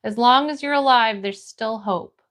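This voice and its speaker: A woman with a notably high voice and an American accent hosts a casual livestream. She talks in a friendly, encouraging way as she chats to viewers and reads their comments. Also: normal speed, a bit bright. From United States. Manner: friendly, encouraging, greets people by name. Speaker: livestream host. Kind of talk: casual livestream chat, greeting viewers and reading comments aloud.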